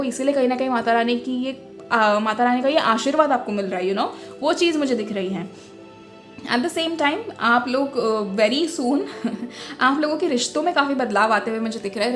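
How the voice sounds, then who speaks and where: A woman giving a casual tarot reading, switching between Hindi and English and often pausing on fillers. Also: sits high. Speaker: tarot reader.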